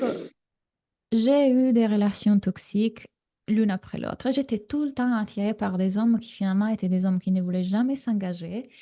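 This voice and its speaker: This is a woman from Italy, speaking with a clear Italian accent as she coaches a live online class. Her voice is mellow and dark, with a gravelly fry at the ends of phrases. She talks in a reassuring way, with plenty of fillers, through a computer mic. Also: mid-range voice. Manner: warm, reassuring coaching talk with frequent fillers. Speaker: relationship coach.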